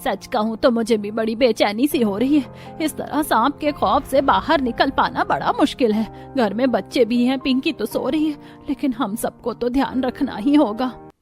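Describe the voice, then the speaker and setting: Woman acting a worried village housewife, fairly high voice. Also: moderate pace, faint music behind, lightly acted. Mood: anxious. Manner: uneasy, earnest. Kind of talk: animated Hindi cartoon story.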